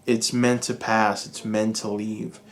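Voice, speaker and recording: A Cuban American man giving a calm, reassuring tarot reading, speaking slowly and soothingly to his viewers. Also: fairly low pitch. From United States. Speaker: tarot reader. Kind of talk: pick-a-card tarot reading.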